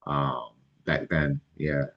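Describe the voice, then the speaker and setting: Very deep-voiced man, halting. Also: creaky, lo-fi sound. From Barbados. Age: middle-aged. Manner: halting, many ums and restarts, relaxed. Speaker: guest, licensed mental health counselor. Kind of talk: casual interview answers.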